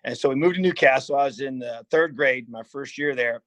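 A man's voice: medium pitch, fairly quick, a bit bright, dry recording. A man in his fifties from Indiana, a football coach telling his life story in a casual interview, rambling and earnest with many restarts. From Indiana, USA. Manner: rambling, earnest, frequent "you know" and restarts. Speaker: head football coach, guest.

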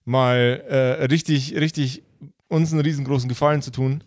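A man's voice: mid-range voice, fairly even intonation, moderate pace, a little mellow, no room sound, studio quality. A man narrating an improvised tabletop roleplay podcast as game master, playful and joking. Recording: music playing behind the voice. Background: faint music.

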